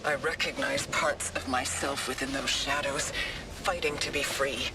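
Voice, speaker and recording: A man's voice: mid-range voice, steady tone, measured pace, close to an everyday voice. A man voicing a brooding, whispery log voice. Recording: distant voice under loud music. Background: loud game music.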